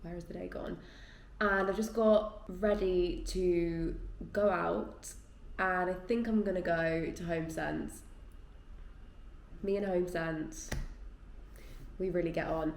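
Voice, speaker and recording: A woman's voice: fairly low pitch, steady tone, takes it slowly, creaky, pauses often, roomy sound. A woman chatting casually to camera at home, rambling and a bit tired and stuffy. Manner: chatty and rambling, lots of "like" and "yeah". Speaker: vlogger. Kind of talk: casual chatty vlog talk. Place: at home in her flat.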